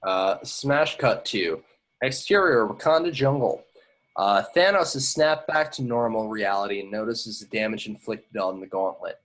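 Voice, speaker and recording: Man, lowish voice, script narrator, stage directions, informal table read delivery, occasional word stumbles. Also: normal speed, fairly bright tone. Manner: informal, stumbling over words. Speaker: action description reader. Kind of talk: reading screenplay stage directions aloud.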